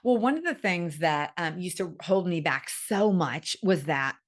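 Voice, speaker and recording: A middle-aged woman with a lowish voice hosts a podcast interview. She chats candidly and pokes fun at herself, keeping the tone easy. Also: lively intonation, measured pace. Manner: chatty, candid, self-deprecating. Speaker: podcast host. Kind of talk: conversational interview.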